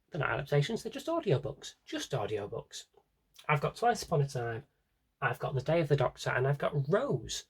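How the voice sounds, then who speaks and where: A man's voice: on the high side, a bit bright, breathy edge. A man giving a casual, rambling show-and-tell to viewers, chatty and enthusiastic, drifting into asides to himself. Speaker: video host showing a personal CD collection.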